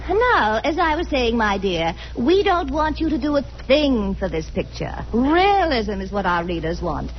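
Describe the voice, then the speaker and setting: An actress playing a condescending, mock-sweet magazine editor in a 1940s-style radio sitcom. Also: female voice, fairly high pitch, sing-song, measured pace, hardly any pauses, slight background noise. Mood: gushing condescension. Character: catty, condescending woman magazine layout editor. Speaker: supporting actress in radio comedy. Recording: muffled vintage radio with studio audience.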